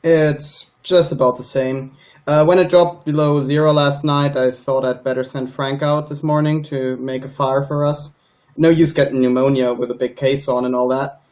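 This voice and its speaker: A man's voice: medium pitch, level tone, moderate pace, darkish tone, lightly acted. A man playing a busy, defensive small-town sheriff in a radio play, talking conversationally and justifying himself. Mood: businesslike, defensive.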